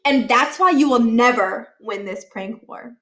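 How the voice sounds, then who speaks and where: Woman acting a boastful schoolboy, high voice, indignant, emphatic, hot-headed, storybook character. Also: unhurried, sharp tone. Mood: scornful.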